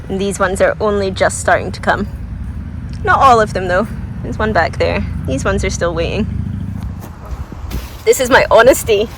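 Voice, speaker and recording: A woman's voice: medium pitch, a little hiss or hum. Chatty woman vlogging outdoors, mic at a distance. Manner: enthusiastic, informal, self-deprecating, thinks aloud. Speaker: gardening vlogger giving a garden tour. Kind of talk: casual, unscripted chat to viewers. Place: outdoors in a flower garden.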